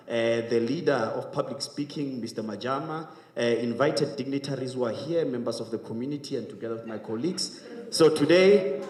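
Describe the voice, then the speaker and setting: A man with a South African accent giving a formal public speech, emphatic and rhetorical in manner. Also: medium pitch. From South Africa, Johannesburg. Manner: emphatic, rhetorical, repeats questions. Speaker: public speaking class graduate giving a speech. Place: reverberant hall at a community graduation event. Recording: echoey hall, distant microphone.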